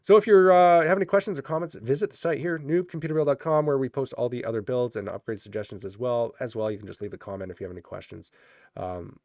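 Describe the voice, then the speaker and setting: A man with a slight cold walks through the parts of a budget gaming PC in a relaxed, unhurried way. His voice is a little nasal, and he often stops on fillers and restarts his sentences. The sound is dry and close, with no room echo. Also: medium pitch. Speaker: host of a PC build channel. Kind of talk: casual product rundown.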